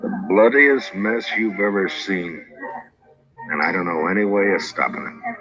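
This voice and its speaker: An American actor playing a stern, weary frontier marshal in an old radio western, terse and dry. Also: male voice, low-pitched, expressive, measured pace, fairly bright tone, soft background music. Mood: weary, grim. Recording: muffled old radio recording.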